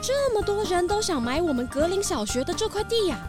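A woman voicing an excitable, curious young townsperson in a children's radio drama, wide-eyed and exclaiming. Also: very high-pitched, a little fast, over music. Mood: excited, worried.

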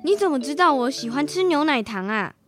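A man's voice: very high-pitched, animated, a little fast, fairly bright tone, soft background music. A young boy acting a polite, helpful schoolboy minding a shop in a radio drama. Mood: puzzled. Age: child.

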